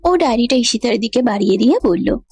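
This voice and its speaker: A woman's voice: a little high, expressive, quick pace, mellow, husky. A woman narrating a scripted romantic story.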